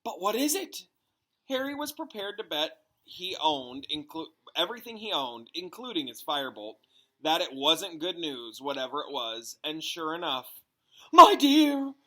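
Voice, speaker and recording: A man's voice: a little high, lively intonation, moderate pace, sharp tone, rough recording. Man reading a storybook aloud. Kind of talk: storybook narration.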